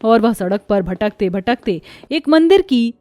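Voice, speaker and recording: High-voiced woman narrating a story. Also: lively intonation, measured pace, a little mellow, dry recording. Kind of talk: scripted moral-story narration.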